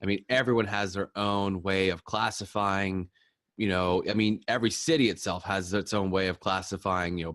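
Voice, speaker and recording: A man's voice: fairly low pitch, dry recording, clean studio sound. A man hosting a podcast interview who asks questions in a casual, chatty, joking way. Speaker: podcast host.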